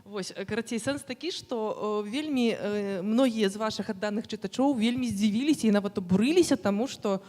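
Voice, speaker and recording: Moderator, woman, mid-pitched, hesitant with fillers, long hall reverb. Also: brisk, few pauses. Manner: hesitant, many fillers, lively questions. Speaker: presenter and moderator. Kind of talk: moderating a book presentation. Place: festival hall.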